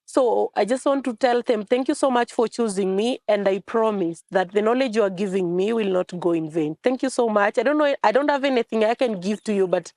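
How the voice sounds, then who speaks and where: A woman's voice: medium pitch, fairly quick, hardly any pauses, a little mellow, no echo, clean studio sound. A young woman from Kenya, a journalism fellow, speaking earnestly in a testimonial interview with repetitive phrasing. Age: young adult.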